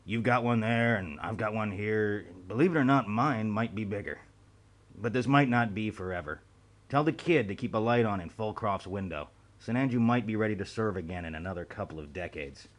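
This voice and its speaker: A man's voice: lowish voice, fairly quick, lightly acted. A man voicing a weary, blunt male agent who talks in clipped wisecracks. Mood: wry.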